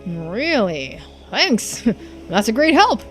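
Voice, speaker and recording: A woman's voice: fairly high pitch, sing-song, slow, a bit bright, faint music behind, heavily acted. A woman voicing a casual, sceptical police investigator in a video game, informal and a little hesitant. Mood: relieved. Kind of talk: video game dialogue read-through.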